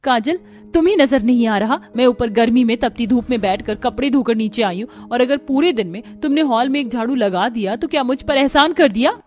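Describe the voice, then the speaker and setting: A woman's voice: on the high side, animated, fairly quick, lightly acted. A woman voicing an overworked, complaining young daughter-in-law in a cartoon story, arguing emphatically. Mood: angry.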